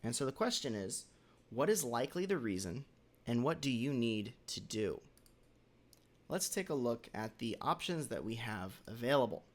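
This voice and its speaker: A man talks through a developer lesson in an easygoing, measured way, his voice still hoarse from a cold. He pauses a little as he goes and clears his throat now and then. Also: medium pitch, very animated. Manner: measured, slightly halting, with occasional throat clearing. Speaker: developer educator hosting a weekly question. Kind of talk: casual instructional talk.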